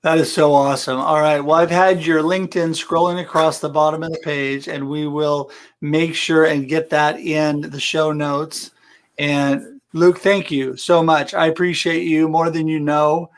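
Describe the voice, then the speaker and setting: A man's voice: on the high side, steady tone, unhurried. A man hosting a live show, talking in a friendly, upbeat way with his guest and viewers. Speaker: host. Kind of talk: casual live-stream chat.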